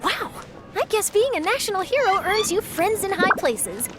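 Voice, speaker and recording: A woman playing a perky, eager young female reporter in a video game, talking in a brisk, chatty way. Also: extremely high pitch, very animated, very noisy. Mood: upbeat, breezy. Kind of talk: animated video game character acting.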